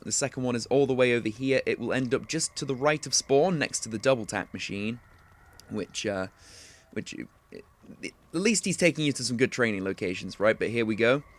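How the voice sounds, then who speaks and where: A young man hosting a video game walkthrough, talking casually and chattily with plenty of filler words. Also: medium pitch. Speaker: gaming tutorial host.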